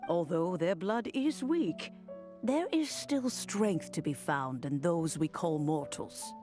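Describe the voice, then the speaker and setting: A poised noblewoman who rules a city in a game speaks slowly and graciously. Her voice sits low and moves with lively lilts. Game music swells behind her. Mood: composed. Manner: measured, gracious and commanding.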